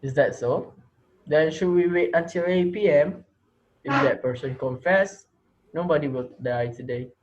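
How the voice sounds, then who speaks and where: A man plays a menacing schoolteacher turned captor in a reader's theater drama. His voice sits fairly high for a man, and he toys with his victims in a mocking, taunting way. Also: measured pace, muffled recording, a little hiss or hum, lightly acted. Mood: stern, accusing. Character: menacing male teacher holding his class hostage, mocking, taunting. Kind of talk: amateur reader's theater acting.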